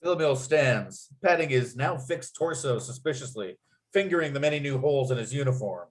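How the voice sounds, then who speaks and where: A man's voice: mid-range voice, expressive, fairly quick. A man narrating at a script read, reading out stage directions and scene headings.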